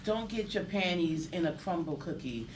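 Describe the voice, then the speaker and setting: A woman's voice: fairly low pitch, expressive, normal speed, lightly acted. A woman playing a brash, lazy, foul-mouthed freeloader in a live comedy table read. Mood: smug, lazy. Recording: distant mic, noisy live audience.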